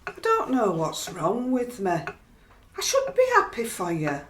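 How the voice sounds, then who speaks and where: Actress playing unhappy working-class wife, Yorkshire accent, blunt, slow delivery, very animated intonation, radio play. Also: female voice, fairly high pitch, slight background noise, full character voice. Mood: subdued, sad. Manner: blunt, scolding, turning weary. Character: downhearted, resentful working-class wife of a rugby player. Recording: roomy, echoing home-made recording.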